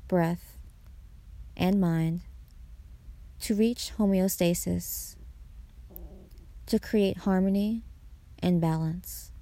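Woman doing guided meditation, really slow n soft dark tone, soothing, dry close recording. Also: fairly low pitch, no echo. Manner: soothing, pausing between short phrases. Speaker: meditation guide, podcast host.

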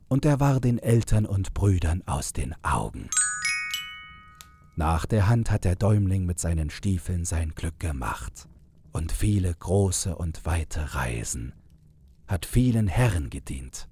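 Casting: male fairy-tale narrator, very low register, deliberate scripted storytelling at a slow pace. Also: very animated, noisy background.

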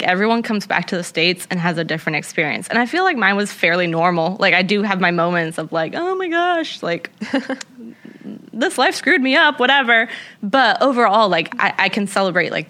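A woman's voice: mid-range voice, sharp tone. A young woman talking casually and reflectively, rambling with many fillers as she shares her experience. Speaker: guest. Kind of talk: casual conversation.

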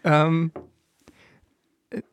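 A woman's voice: extremely slow, dry recording. A woman hosting a podcast, asking eager, curious follow-up questions in casual chat. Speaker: podcast host.

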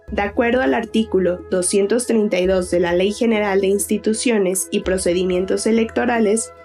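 Woman narrating a formal informational script. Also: medium pitch. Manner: formal, steady, explanatory. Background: guitar music.

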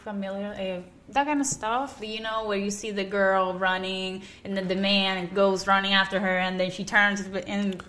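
A woman's voice: medium pitch, little pitch movement, normal speed, hardly any pauses, bright, forceful tone. A young woman with a Cuban accent chats casually as an interview guest. Her mid-range voice is emphatic and full of little "like" fillers. There is some noise and room ambience behind her. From Cuba. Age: young adult. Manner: chatty, emphatic, frequent use of "like". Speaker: interview guest, aspiring actress and model. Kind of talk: casual conversational interview answers.

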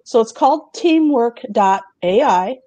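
Chatty woman host, mellow voice. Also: fairly high pitch, lively intonation, measured pace, no room sound. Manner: chatty, laughs often, asks the others questions. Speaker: host presenting remote job openings. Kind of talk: casual chat show presentation.

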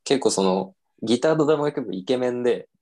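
A man's voice: mid-range voice, animated, quick pace, dark tone. A young man casually telling a self-mocking story on a podcast, with lots of fillers.

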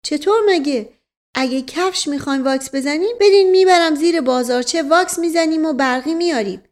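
A woman voicing a slow-witted, evasive male servant in a folksy, colloquial manner for a story. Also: high-pitched, pitched above the performer's own voice, natural voice. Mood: evasive, defensive.

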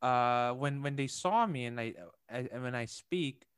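A man's voice: mid-range voice, a little slow, clear, resonant tone, dry recording, clean studio sound. A young man from Indonesia chatting casually, thinking aloud with many fillers, restarts and light laughs. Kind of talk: casual conversation.

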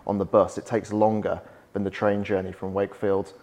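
Young Yorkshire man, low easy voice. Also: little pitch movement, brisk, a little mellow. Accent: softened Yorkshire. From West Yorkshire, England. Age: young adult. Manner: chatty, storytelling, frequent "like" fillers. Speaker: podcast guest, startup founder. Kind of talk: casual interview chat.

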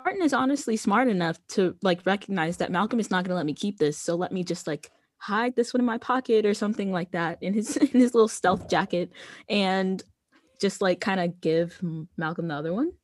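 A young woman co-hosting a podcast, chatting in a relaxed, conversational way about a TV episode. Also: medium pitch, fairly quick, no echo, studio quality. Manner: relaxed, conversational, many fillers. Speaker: podcast co-host. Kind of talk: casual chat recapping a TV episode.

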